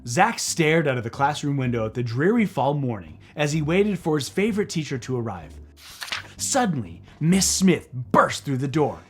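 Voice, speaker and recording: A man's voice: a little high, very animated, normal speed, husky, soft background music. A man reading a children's book aloud as theatrical narration, dramatic and playful.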